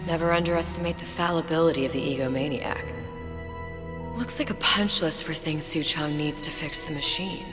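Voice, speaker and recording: An actress playing a clever, anxious and sardonic young woman in a dramatic video game. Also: lowish voice. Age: young adult. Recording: long reverb, loud music behind.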